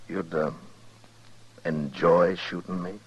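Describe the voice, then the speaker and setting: An actor playing a grave, steady, world-weary frontier marshal in a 1950s radio western. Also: male voice, deep voice, takes it slowly, breathy edge, full character voice. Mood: grim. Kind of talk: 1950s radio drama acting. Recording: 1950s radio drama recording.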